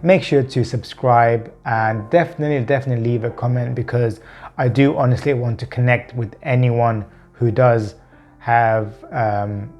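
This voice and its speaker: A man's voice: mid-range voice, steady tone, moderate pace, a little mellow, dull audio, faint music behind. Earnest young man who stammers. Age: 30s. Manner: stammers with repeated words and blocks, unedited; earnest, open, conversational. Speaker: vlogger. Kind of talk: personal vlog talking to camera.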